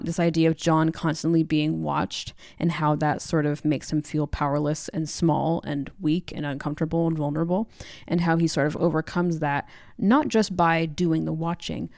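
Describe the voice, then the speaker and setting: A woman's voice: deep voice, creaky, darkish tone, dry recording, clean studio sound. A woman co-hosting a podcast, talking through a book in a casual, reflective and conversational way. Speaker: podcast co-host.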